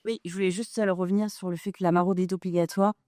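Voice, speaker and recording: A woman from a charity speaks on a panel, explaining in a measured way with the odd filler. Her voice is mellow and smooth, recorded dry. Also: on the low side, little pitch movement, dry recording. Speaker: financial manager of a charity. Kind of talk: panel discussion.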